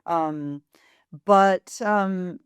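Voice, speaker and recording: An American woman, a voice professor being interviewed, with a fairly deep voice, speaking thoughtfully with pauses and fillers. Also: clean tone, no echo. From United States. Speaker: voice and speech professor, guest. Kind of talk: interview answers.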